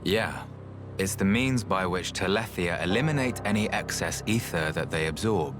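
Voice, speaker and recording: An actor plays a weary, level-headed researcher in a game. His voice is low and understated, thoughtful and pragmatic, over a clearly audible music track. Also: normal speed, natural voice. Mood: explaining, pensive. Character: calm, measured male researcher, weary and pragmatic elder. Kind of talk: video game voice acting.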